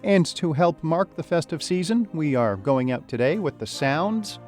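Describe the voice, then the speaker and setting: Lively-pitched male radio host over music. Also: normal speed. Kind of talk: scripted show sign-off. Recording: music under the voice.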